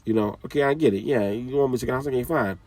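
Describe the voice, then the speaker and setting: Gravelly man lecturing from a distance. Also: mid-range voice, racing, darkish tone, no echo. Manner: direct, scolding, repeats phrases, casual slang. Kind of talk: informal motivational talk.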